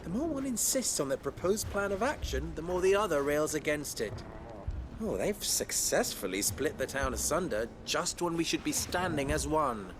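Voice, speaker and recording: An actor playing a wry, wordy, sardonic fixer from a desert town in a video game cutscene. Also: male voice, on the high side, big pitch swings, moderate pace, background noise. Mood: wry, exasperated. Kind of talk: dramatic game cutscene acting. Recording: music behind the voice. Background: clear background music.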